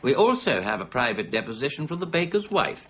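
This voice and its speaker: An actor playing a stubborn, exacting middle-aged warden of the Royal Mint, precise and formal in a radio drama. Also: male voice, medium pitch, big pitch swings, brisk, a bit bright. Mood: stern, menacing. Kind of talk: BBC radio drama acting. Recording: muffled radio broadcast with faint noise.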